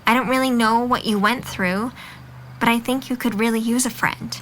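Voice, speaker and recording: A woman's voice: fairly high pitch, steady tone, moderate pace, a bit bright, a little hiss or hum, close to an everyday voice, low-quality recording. A woman acting a kind, encouraging young friend in an audio drama, speaking with patient, sincere reassurance. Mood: earnest.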